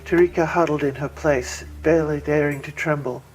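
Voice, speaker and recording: A man's voice: a little high, fairly even intonation, fairly quick, low-quality recording. A man reading their own science fiction story aloud as scripted audiobook narration. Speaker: author reading own novel. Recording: music bed behind.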